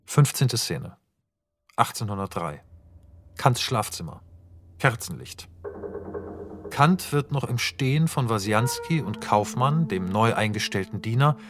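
Guy reading stage directions for a radio play, steady and even, plain mid voice, faint music behind. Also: mid-range voice. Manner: measured, even, descriptive. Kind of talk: scripted narration.

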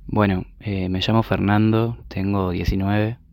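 A man's voice: on the low side, level tone, measured pace, vocal fry, a little mellow, dry recording, studio quality. A young man with an Argentine accent playing an anxious, tired university student in a student radio drama. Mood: weary, uneasy. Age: young adult. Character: anxious, weary nineteen-year-old male university student.

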